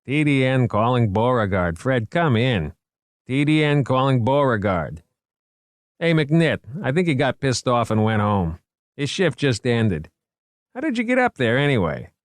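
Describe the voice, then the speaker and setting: A man's voice: medium pitch, very animated, unhurried, no room sound, clean studio sound, voice pushed up. A man voicing a blunt, irritable male security dispatcher with slangy talk, in an audiobook. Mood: brusque, irritated.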